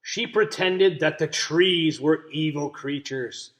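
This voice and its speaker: Male read-aloud teacher: lighter, raised pitch, leisurely delivery, explanatory, asking young listeners questions. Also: slow, a bit bright.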